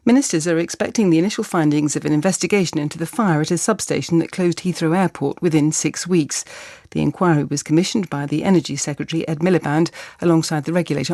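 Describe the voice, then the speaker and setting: Creaky-voiced British woman newsreader. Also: sits low, warm tone, dry recording, studio quality. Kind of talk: formal scripted news bulletin. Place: dry broadcast radio studio.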